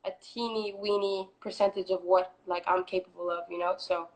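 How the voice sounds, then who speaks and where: A woman in her twenties from Florida, a singer answering interview questions in a relaxed, chatty way with many fillers. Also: fairly low pitch, moderate pace, crowd noise, poor audio. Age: 20s. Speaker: guest, singer. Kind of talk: casual interview.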